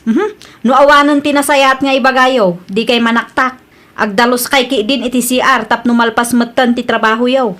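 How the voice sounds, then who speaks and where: A high, sharp-tongued female supervisor with an Ilocano accent, acted by a woman. She scolds impatiently and sounds exasperated, as a comedy-drama figure. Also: steady tone, normal speed, close to an everyday voice. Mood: defensive annoyed. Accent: Filipino, Ilocano.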